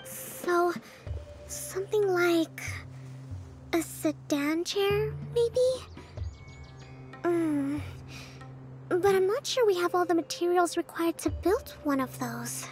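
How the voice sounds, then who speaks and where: Very high-voiced, courteous young adviser. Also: female voice, expressive, darkish tone, faint music behind, heavily acted. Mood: polite, thoughtful. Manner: courteous, measured, formal wording. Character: polite, thoughtful, helpful young female adviser.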